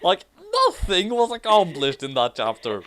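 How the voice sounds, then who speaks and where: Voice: mid-range voice, very animated, normal speed, fairly bright tone. A person reading fan fiction aloud and commenting on it in a theatrical, mock-serious way. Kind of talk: dramatic amateur reading with casual commentary.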